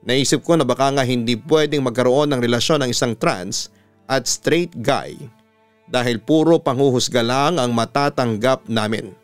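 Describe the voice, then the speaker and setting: A Filipino man with a radio announcer's delivery, reading a scripted first-person story in a measured, earnest way. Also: medium pitch, smooth, no echo.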